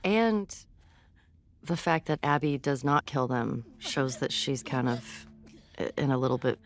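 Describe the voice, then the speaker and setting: Very low-pitched woman chatting. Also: measured pace. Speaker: game voice actress discussing her scenes. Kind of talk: casual behind-the-scenes chat.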